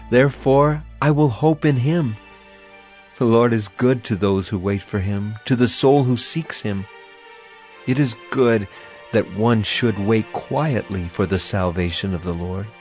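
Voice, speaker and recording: An actor playing a grieving, afflicted prophet in a dramatized scripture reading, with solemn, emphatic and deliberate phrasing. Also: male voice, on the low side, a little mellow. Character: grieving, afflicted male prophet lamenting and praying.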